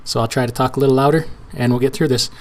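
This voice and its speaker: A man with a hoarse, weak voice walking viewers through filling out a form on screen, in a casual, rambling way with restarts and fillers. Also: mid-range voice, fairly even intonation, very fast. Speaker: trucking channel host. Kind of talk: screen-share tutorial walkthrough.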